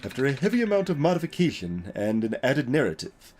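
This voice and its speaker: A man's voice: medium pitch, very animated, a little mellow, a little hiss or hum, close to an everyday voice. A man voicing an earnest, hesitant young male video game character who speaks in careful, formal words. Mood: solemn, explanatory. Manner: halting, careful, formal wording. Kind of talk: voiced reading of video game dialogue.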